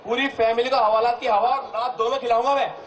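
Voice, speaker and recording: A man's voice: very high-pitched, fairly even intonation, fast, a bit bright. A man acting a swaggering, boastful crooked policeman in a comic stage play, talking in slangy street style. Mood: boastful, threatening. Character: swaggering bribe-taking cop, cocky small-town bully. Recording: noisy hall with audience.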